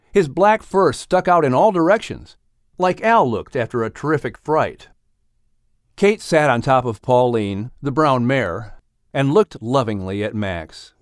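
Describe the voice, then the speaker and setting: A man reads a children's story as its narrator. His mid-pitched voice moves expressively across a wide range, recorded close with no room sound. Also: no echo. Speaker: audiobook narrator.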